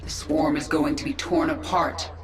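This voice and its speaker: Brief: actress as cold, vengeful alien-swarm commander, low register, terse game dialogue with echo on it. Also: female voice, level tone, normal speed, noisy background, natural voice. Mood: commanding. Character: stern, vengeful female swarm queen and commander. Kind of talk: video game character acting. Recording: echoing voice under loud music.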